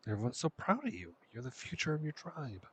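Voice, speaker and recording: A man's voice: medium pitch, wildly swooping pitch, fairly quick, background noise. A man streaming a video game, casually reading dialogue choices aloud and commenting as he plays. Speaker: gameplay streamer.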